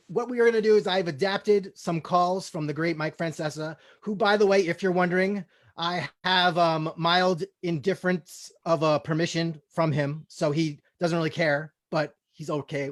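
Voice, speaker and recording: A man hosting a comedy table read, talking casually and reading out stage directions in deadpan style. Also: a little high.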